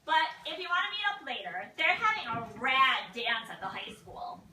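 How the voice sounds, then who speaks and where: Laid-back eighties dude puppet, put on by a woman: high, swooping, slow drawl, in a roomy distant recording. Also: big pitch swings, pushed and bright, full character voice. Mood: breezy. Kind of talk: amateur comic puppet show acting. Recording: roomy, distant live recording, faint noise.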